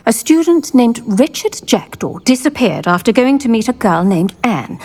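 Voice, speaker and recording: An actress playing an earnest, retired British investigator in a video game, measured and articulate in manner. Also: female voice, medium pitch. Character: earnest, keen retired female investigator, older. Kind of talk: video game character acting.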